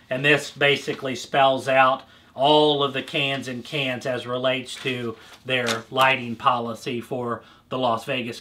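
A man's voice: medium pitch, bright, forceful tone. A middle-aged man with an American accent, explaining products to camera in an informal, conversational way. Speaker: presenter for a trade show supplier. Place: indoor display booth set, standing behind a counter.

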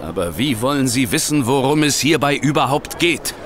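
An actor playing a nervous, evasive hotel steward in an audio drama, hesitant and anxious. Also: male voice, medium pitch, lively intonation, normal speed, background noise, close to an everyday voice. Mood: defensive. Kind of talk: German audio drama acting.

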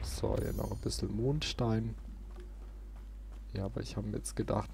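A man's voice: on the low side, gravelly, dark tone. A man doing casual let's play commentary, thinking aloud with playful little interjections. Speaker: let's player, channel host. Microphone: close desk microphone. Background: game music.

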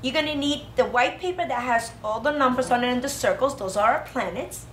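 Preschool teacher, female, high-pitched, sing-song craft instruction for little kids, roomy classroom sound with slight noise. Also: fairly quick, a bit bright. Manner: sing-song, child-directed, exclamatory. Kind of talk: instructional craft lesson for young children. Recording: roomy classroom echo, faint background noise.

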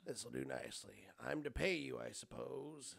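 A man voicing a gruff, unhurried dockworker from a video game, slow and low-key. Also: steady tone, fairly bright tone. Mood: gruff, satisfied.

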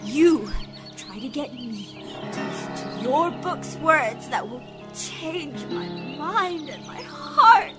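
Slow-spoken ailing woman, music behind. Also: sits high, expressive. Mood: scornful, angry. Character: sick local woman, pleading, then scornful and defiant. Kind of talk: audio drama acting.

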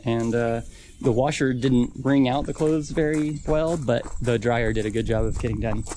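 A man's voice: medium pitch, normal speed, slight background noise. Man rambling through a campground walk. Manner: relaxed, rambling, many fillers. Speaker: camping vlogger. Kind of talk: casual walking tour commentary. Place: outdoors, campground.

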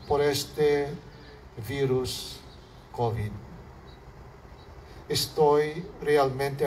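A man with a Filipino accent whose first language is Hiligaynon, a priest giving a homily at an outdoor altar in slow, measured phrases that fall at the ends. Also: a little high, a little mellow. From Philippines. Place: outdoor altar under a canopy. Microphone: far camera, mic at lectern. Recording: distant outdoor recording with faint background noise.

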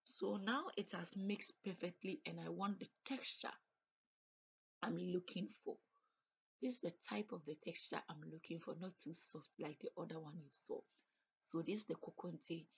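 A woman narrates her cooking in a relaxed, chatty way, describing each step as she works. Her voice sits a little low and has a breathy, husky edge. It sounds like a home kitchen recording. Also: normal speed. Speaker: cooking vlogger. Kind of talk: casual cook-along narration.

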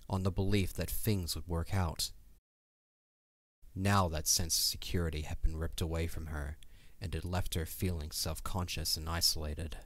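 A man's voice: deep voice, dry recording. A man narrating a scripted fantasy audio drama as its storyteller. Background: music.

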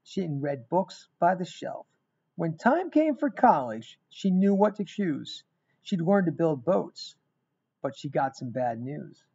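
A middle-aged man reading a rhyming picture book aloud in an expressive, emphatic storytelling manner. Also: on the high side, slow, creaky, breathy edge. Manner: emphatic, dramatic storytelling with repeated phrases. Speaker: guest reader of a children's book. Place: small indoor room. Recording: dull, lo-fi webcam sound.

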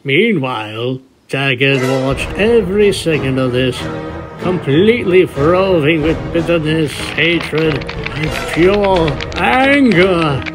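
Male storyteller, scripted narration, unhurried pace, faint music underneath. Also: a little high, lively intonation. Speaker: narrator of a fan fiction reading. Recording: music bed behind the voice.

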